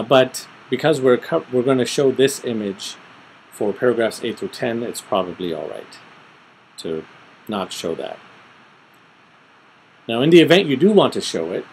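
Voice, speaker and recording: A middle-aged man giving an informal, step-by-step screen tutorial, thinking aloud methodically with pauses. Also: mid-range voice, a little hiss or hum, low-quality recording. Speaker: tutorial presenter. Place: small room at home, indoors.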